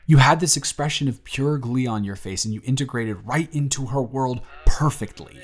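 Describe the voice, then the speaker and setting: A man playing an earnest young single dad in his twenties, talking softly and a little flustered in an audio roleplay. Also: medium pitch, moderate pace, lightly acted. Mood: tender. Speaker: father confessing feelings to the babysitter.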